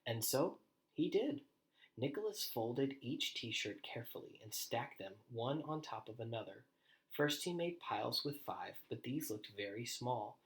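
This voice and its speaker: A man with a mid-pitched voice reads a children's story aloud. His delivery is animated and deliberate, shaped as expressive storytelling. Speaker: storybook reader. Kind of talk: expressive children's read-aloud with quieter asides.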